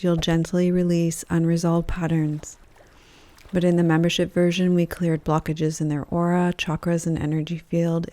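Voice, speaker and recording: A woman leading a guided yoga nidra meditation in a calm, gentle, measured voice with soft pauses between phrases. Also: low voice. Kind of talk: guided meditation, soothing scripted narration.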